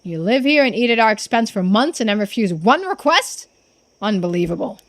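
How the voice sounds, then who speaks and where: A woman's voice: medium pitch, very animated, normal speed, lightly acted. A woman voicing a stern, domineering male businessman from a visual novel, with a scornful, commanding manner. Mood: accusing, contemptuous.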